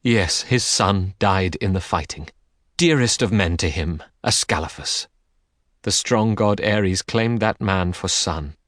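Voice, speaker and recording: A man's voice: deep voice, takes it slowly, fairly bright tone, dry recording, studio quality. Man voicing a haughty, bitter goddess queen. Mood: bad-tempered scorn. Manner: imperious, scornful. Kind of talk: dramatic audiobook character reading.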